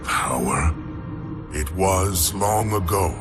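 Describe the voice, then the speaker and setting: A grave alien veteran warrior for a game cinematic. The voice is low and slow, with formal, bitter solemnity and swelling emphasis, under loud music. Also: male voice. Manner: grave, deliberate, formal storytelling. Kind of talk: video game cinematic acting.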